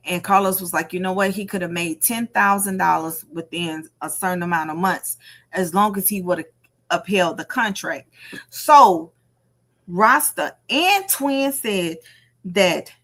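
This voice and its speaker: A woman giving casual livestream gossip commentary, telling stories emphatically, acting out quotes and repeating phrases for effect. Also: fairly low pitch. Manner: animated storytelling, acts out quotes. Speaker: livestream host.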